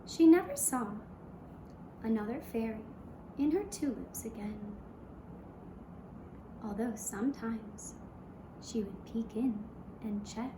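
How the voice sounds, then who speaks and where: A woman narrating a fairy tale for a puppet show in a gentle, hushed, deliberate storytelling manner. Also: fairly high pitch, reverberant, background noise. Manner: slow, hushed, deliberate storytelling in short phrases.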